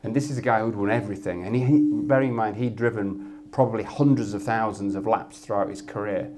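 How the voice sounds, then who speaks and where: A man with a Yorkshire accent and a loud voice, speaking as a guest on a live industry panel and often restarting his sentences as he thinks. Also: lowish voice, fairly even intonation, fast talker. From Yorkshire, England. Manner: thoughtful, with frequent restarts and repeated words. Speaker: head of media effectiveness, guest. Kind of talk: panel discussion at an industry event.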